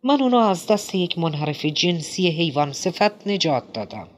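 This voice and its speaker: A man reading an audiobook, voicing a rattled, eccentric middle-aged man who pleads and mocks in a jittery, high-strung way. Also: animated, lightly acted. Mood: indignant. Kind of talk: audiobook character voice.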